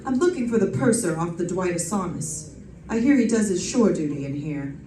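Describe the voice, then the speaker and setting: A woman playing a wry, quick-witted female private detective in an old-time radio drama, with a sardonic, snappy delivery. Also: on the low side, expressive, warm tone, a little hiss or hum, lightly acted. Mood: determined. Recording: roomy, distant stage pickup.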